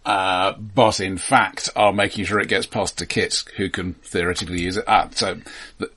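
Low-pitched man, slightly husky, dryly understated. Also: flat delivery, bright, forceful tone. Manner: halting, repeats words and restarts often. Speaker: film podcast co-host. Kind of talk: casual film discussion.

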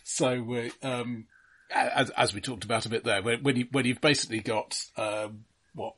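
A man's voice: mid-range voice, steady tone, moderate pace, fairly bright tone, breathy edge. A man co-hosting a film podcast, offering considered, analytical points in a casual and somewhat hesitant way. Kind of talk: casual film review discussion.

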